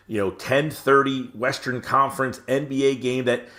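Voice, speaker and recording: A man's voice: mid-range voice, flat delivery, normal speed, a bit bright. A man in his late forties co-hosting a sports podcast, giving rambling opinions with plenty of fillers. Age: 40s. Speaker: podcast co-host. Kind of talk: sports media podcast chat.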